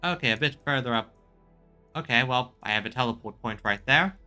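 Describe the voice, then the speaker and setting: A man's voice: mid-range voice, fairly quick, sharp tone, faint music behind. A man doing casual video game commentary as a streamer, chatting and reading lines aloud.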